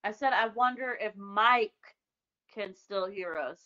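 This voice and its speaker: A woman's voice: medium pitch, measured pace, bright, forceful tone, no room sound, dull audio. A woman in casual chat, emphatic and easily exasperated, swearing freely while ranting.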